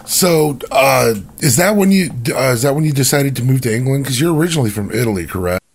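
A man's voice: mid-range voice, lively intonation, fast talker, husky. A man interviewing a musician, asking loose, rambling questions in a casual way.

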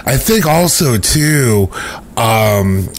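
A man's voice: medium pitch, expressive, drawn-out words. A man interviewing a musician in a casual, chatty way, with frequent fillers. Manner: chatty, many fillers like "you know" and "like".

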